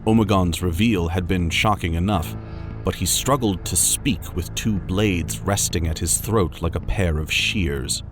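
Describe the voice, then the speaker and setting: Deep-voiced man narrating dramatic fiction. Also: unhurried. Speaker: audiobook narrator. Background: music.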